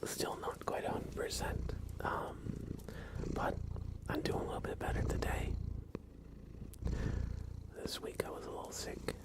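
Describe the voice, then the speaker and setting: A man hosting an ASMR livestream, speaking softly with playful asides as he chats. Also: unhurried, long pauses. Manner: soft-spoken, playful asides to a pet cat. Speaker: livestream host. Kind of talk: whispered ASMR livestream chat.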